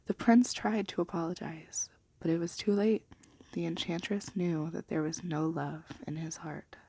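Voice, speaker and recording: Woman, soft-spoken ASMR, lowish pitch, creaky vocal fry, breathy, hushed, gentle. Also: fairly even intonation, normal speed. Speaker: ASMR video host and storybook reader. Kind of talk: soft-spoken and whispered ASMR, chat and bedtime storybook reading.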